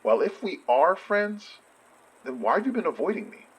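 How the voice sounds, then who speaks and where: An earnest, insecure friend in an audio drama, shy and hopeful. The voice is slightly husky and very mobile in pitch, with halting, questioning phrases that restart often. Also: sing-song, highs cut off. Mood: hurt, uncertain. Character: earnest, insecure friend shyly hoping for a date. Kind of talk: naturalistic audio drama acting.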